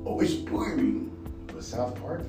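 A man with a baritone voice ranting casually and profanely as a podcast host, rambling and animated. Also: measured pace. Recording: echoey room, far from mic, music behind.

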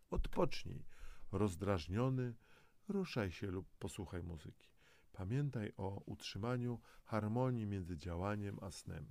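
Man, motivational audio guide narration, encouraging, direct address, creaky low voice. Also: a little mellow, no echo. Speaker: presenter of a self-help audio guide.

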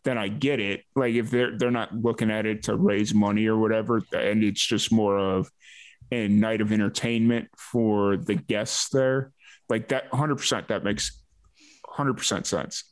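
A man's voice: lowish voice, fairly even intonation, unhurried. A man hosting a casual interview, talking in a laid-back, informal way. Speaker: podcast host.

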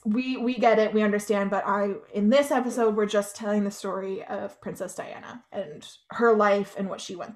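A young adult woman co-hosting a podcast, talking casually with plenty of "like" and "you know". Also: medium pitch. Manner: chatty, lots of "like" and "you know". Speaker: podcast co-host. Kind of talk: casual chat.

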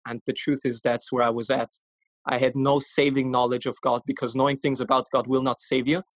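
A man's voice: mid-range voice, level tone, fairly quick, no echo. A man in his twenties from Austria, a podcast guest telling his faith story earnestly and casually. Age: 20s. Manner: earnest, warm-hearted storytelling with fillers. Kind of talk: casual testimony.

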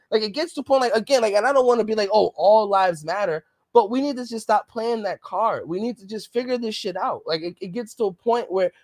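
A podcast co-host rants with heat and swears freely in casual political talk. The voice sits at a middling pitch. The recording is dry, with no room sound. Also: darkish tone, no echo. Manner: passionate, ranting, swears often.

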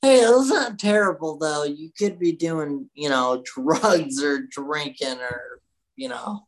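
A woman's voice: low-pitched, slow pace, rough recording. A woman co-hosting a podcast in casual chat, laid-back, mostly giving short agreeing replies. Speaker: podcast co-host.

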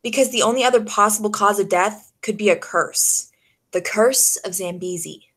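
A woman's voice: medium pitch, normal speed, a bit bright, natural voice. A woman playing a wry, skeptical female private detective in the style of a 1940s radio drama. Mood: urgent, wry. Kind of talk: 1940s old-time radio detective acting. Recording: music behind the voice.

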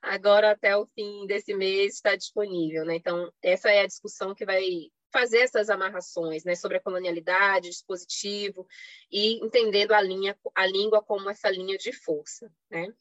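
A Brazilian woman with a mid-pitched voice presents in an academic, semi-improvised lecture style. She explains step by step, leaning on rhetorical questions and short tag checks. The sound is dry and a little lo-fi, as on an online call. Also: steady tone, moderate pace, no room sound. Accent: Brazilian Portuguese. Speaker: academic panelist giving a presentation. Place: online live stream. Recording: slightly dull lo-fi online call audio.

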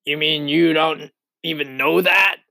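A man's voice: on the low side, fairly even intonation, moderate pace, sharp tone, no room sound. A man voicing a rude male centipede in a storybook, a brash, mocking tease. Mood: scornful.

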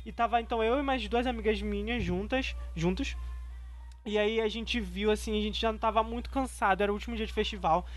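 A young woman co-hosting a casual chat, telling stories quickly and loosely, full of slang. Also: medium pitch, soft background music. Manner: rapid, slangy, story-driven. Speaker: podcast co-host.

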